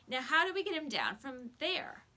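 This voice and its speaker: A woman voicing an eager young boy in a storybook, excited and insistent. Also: sits high, moderate pace, pitched above the performer's own voice, exaggerated voice. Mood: fond, excited. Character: eager young boy devoted to his dog.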